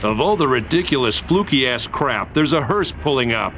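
A man with an American accent playing a gruff, cynical gunman sidekick in a dubbed anime, deadpan and sardonic. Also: medium pitch, moderate pace, lightly acted. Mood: menacing, sardonic. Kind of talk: dubbed anime comedy acting.

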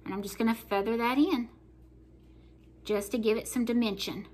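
A woman's voice: a little high, fairly even intonation, moderate pace, darkish tone. Chatty Southern woman painting at home. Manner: chatty, folksy, talks while working. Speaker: craft painting host. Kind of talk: casual painting tutorial. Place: home kitchen table, indoors.

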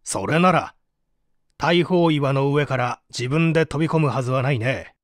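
A man's voice: mid-range voice, moderate pace, no room sound, studio quality, lightly acted. A man playing a stern, relentless prosecutor with an authoritative, pressing manner in a dramatised mystery reading. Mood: probing, measured. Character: stern male prosecutor, relentless, accusing interrogator. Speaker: audiobook reader.

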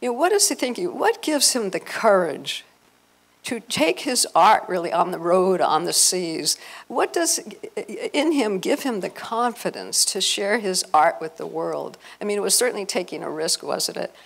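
A woman's voice: mid-range voice, sing-song, moderate pace, creaky. An older woman with an American accent giving an informal, effusive talk to an audience on a handheld microphone in a conference room. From Los Angeles, United States. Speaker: event host and speaker. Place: hotel conference room, indoors. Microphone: handheld microphone through PA.

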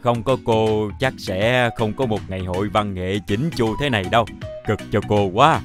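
A man's voice: on the low side, normal speed, natural voice. A man voicing a genial, courteous older schoolteacher in a children's cartoon. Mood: genial, grateful. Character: genial older male schoolteacher, proud of his class. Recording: music behind the voice.

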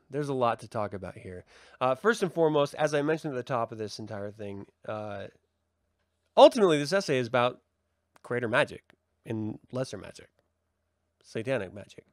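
A man's voice: medium pitch, expressive, moderate pace, a bit bright, no echo, clean studio sound. A man hosting a reading, speaking unscripted as he gives commentary to his listeners. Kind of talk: unscripted commentary to a live audience.

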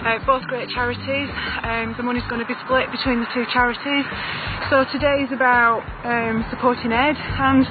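A woman's voice: on the high side, flat delivery, normal speed. A woman, an event participant giving a casual outdoor interview. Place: outdoors at athletics track. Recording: thin tinny sound, outdoor ambient noise.

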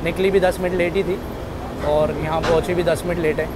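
A man in his thirties talks to his viewers in a chatty travel-vlog style, calling them "guys". His voice sits fairly high. He records on a phone held at arm's length, and loud train and platform noise runs under everything he says. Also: flat delivery, a little fast. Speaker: travel vlogger. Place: train coach and station platforms.

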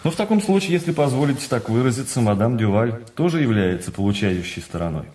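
A man acting in a radio drama as an urbane, patient notary who speaks in a measured, courteous way with teasing emphasis. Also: medium pitch, animated, a little mellow, slightly rough. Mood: advising, cautionary. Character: urbane, patient male notary breaking news of a fortune. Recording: studio radio play with faint music.

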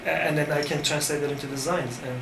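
A man in his thirties from Turkey, Turkish native, speaking English with a Turkish accent in a casual interview, pausing often with fillers. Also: a little high, steady tone, creaky. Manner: thoughtful, halting with many fillers. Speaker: design firm founder. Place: cafe-like room with framed wall, indoors. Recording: echoey room with background noise.

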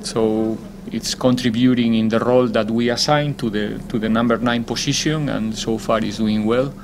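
A man, a football head coach, answering press conference questions in a measured, deliberate way, often pausing to find his words. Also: mid-range voice, fairly even intonation, slight background noise.

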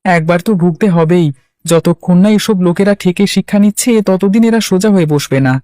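Actor as a mellow young villager. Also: mid-range voice, brisk, dry recording, studio quality, natural voice. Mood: sympathetic. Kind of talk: Bengali cartoon dialogue.

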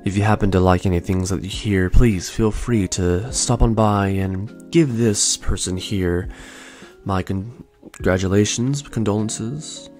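A young man in his twenties hosting a podcast, telling his own story in a soft, slow, soothing way. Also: on the low side. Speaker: podcast host. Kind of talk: calm reflective storytelling. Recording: faint lo-fi music bed. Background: soft lo-fi music.

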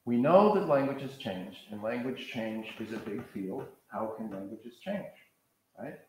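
Older guy from the us west coast lecturing, average pitch, really echoey hall, far from the mic. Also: lively intonation, a little slow, a little mellow, a little hiss or hum. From American Pacific Coast. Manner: conversational, digressive, gestures while pacing. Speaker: professor leading a master class. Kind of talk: lecture to a seated audience. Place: large lecture hall with red seats. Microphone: far camera.

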